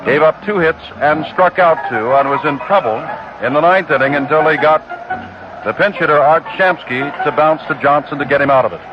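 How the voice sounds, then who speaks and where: A man giving a steady, informative recap of the game situation on a 1969 radio baseball broadcast. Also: on the high side, a little fast, highs cut off, music in the background. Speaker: radio announcer.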